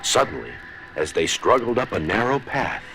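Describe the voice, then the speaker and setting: A man narrating a scripted children's story in a dramatic, deliberate storybook style. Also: lowish voice, big pitch swings, background noise.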